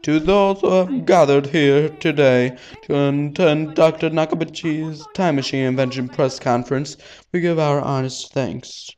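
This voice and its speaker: A man voicing a formal press conference announcer in a visual novel, projecting in a ceremonious, drawn-out way. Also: on the high side, fairly even intonation, a little mellow, natural voice.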